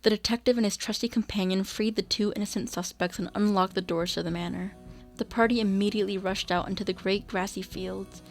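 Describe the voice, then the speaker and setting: Creaky-voiced woman narrating radio drama. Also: medium pitch, fairly even intonation, fairly quick, soft background music.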